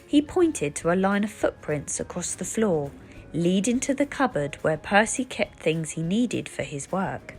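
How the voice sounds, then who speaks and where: A woman reading a children's book aloud as an expressive, deliberate storyteller. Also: on the low side, soft background music. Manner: animated, deliberate.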